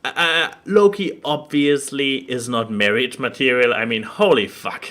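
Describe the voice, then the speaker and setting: A man with a bright, forceful voice at a medium pitch co-hosts a podcast. He chats casually in a halting way, doubling words as he works toward his point. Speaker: podcast co-host. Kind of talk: casual chat.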